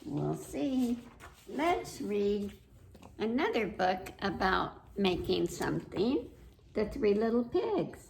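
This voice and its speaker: A woman's voice: medium pitch, big pitch swings, takes it slowly, vocal fry, darkish tone, a little hiss or hum. A woman hosting a children's story time, reading aloud and chatting directly to the young listeners with questions.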